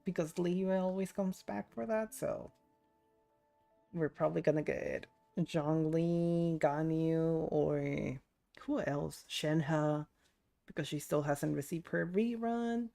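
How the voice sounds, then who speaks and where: A woman's voice: low-pitched, slow pace, creaky, mellow, faint music behind. A young adult woman streaming a game, chatting casually and rambling as she muses aloud. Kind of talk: casual livestream chat while gaming.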